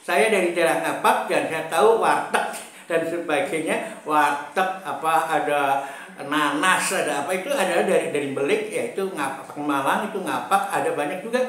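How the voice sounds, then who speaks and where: A man from Central Java giving a casual political monologue, pausing often with fillers and repeating himself, with a chuckle now and then. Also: a little high, a bit bright, heavy reverb, slight background noise. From Brebes, Central Java. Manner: slow, hesitant, many fillers and repetitions, chuckles often. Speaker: political commentator.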